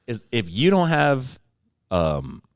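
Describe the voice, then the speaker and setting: A man's voice: mid-range voice, takes it slowly, noisy background. A man hosting a podcast, chatting casually and joking with a laugh in his voice. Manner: joking, animated, laughs often. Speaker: podcast host. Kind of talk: casual chat.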